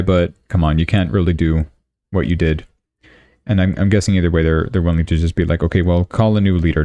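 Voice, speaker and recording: A young man from Canada hosts a casual live news stream, thinking aloud and correcting himself as he goes. His voice sits very low, dark and mellow, and the sound is dry and close. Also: no room sound.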